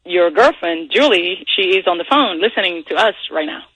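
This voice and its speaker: A woman's voice: on the low side, animated, moderate pace, noisy background. A woman on a radio call, talking casually as she explains herself. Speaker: radio show caller. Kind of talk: casual phone conversation.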